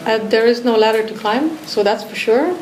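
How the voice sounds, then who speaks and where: A woman's voice: on the high side, moderate pace, a little mellow. Woman from Romania in an echoey room. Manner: reflective, loose, many restarts and fillers. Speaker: artist guest. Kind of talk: talk discussion. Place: art academy pavilion. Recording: echoey room with background noise.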